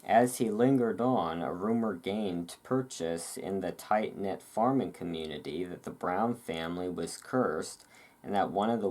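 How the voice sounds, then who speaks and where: A host giving a relaxing ASMR reading of a historical article, with relaxed, informal delivery. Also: deep voice.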